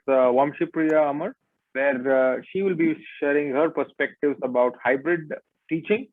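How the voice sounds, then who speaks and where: A man with an Indian accent moderating a webinar panel in a formal, deliberate way. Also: mid-range voice, no echo. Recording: tinny phone-like online call.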